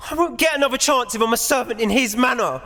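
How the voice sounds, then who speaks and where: A woman's voice: a little high, fast, fairly bright tone, faint music behind. A woman playing a desperate, determined young captive, the eldest sibling, speaking earnestly and urgently in an audio drama. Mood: earnest, worried. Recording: long hall-like reverb.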